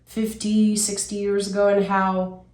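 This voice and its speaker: A woman in her thirties, hoarse and raspy from being sick, giving a casual tarot reading with many fillers, musing aloud and getting enthusiastic. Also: mid-range voice, flat delivery, unhurried, a little mellow, echoey room, poor audio. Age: 30s. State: hoarse from illness. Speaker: tarot reader.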